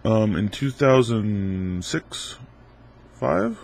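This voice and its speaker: A man's voice: on the low side, animated, extremely slow, vocal fry, darkish tone, a little hiss or hum. A man in his twenties with a stuffy head cold, answering viewer questions in a laid-back, rambling way. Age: 20s. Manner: laid-back, rambling, lots of ums and uhs. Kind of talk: casual Q&A video.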